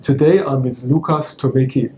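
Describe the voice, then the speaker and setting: Mellow-voiced middle-aged male interviewer. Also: mid-range voice, moderate pace, a little hiss or hum. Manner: formal, polished presenter. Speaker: interviewer host. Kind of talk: scripted guest introduction and questions. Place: office with wooden chairs, indoors. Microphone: lapel mic. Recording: roomy office echo.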